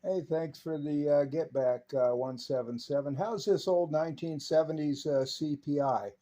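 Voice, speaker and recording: American male repair presenter, mellow tone, casual and unhurried, pausing often as he talks. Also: a little high. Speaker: radio repair technician and presenter. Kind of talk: casual hands-on repair narration and CB radio chat.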